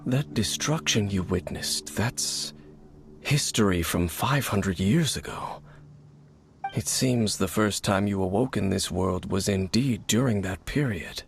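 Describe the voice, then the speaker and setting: An actor playing a mysterious, reserved warrior in a video game, grave and gravelly, speaking in a solemn, formal, measured way. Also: male voice, noisy background. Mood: pensive. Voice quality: low, gravelly. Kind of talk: dramatic video game character acting. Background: background music.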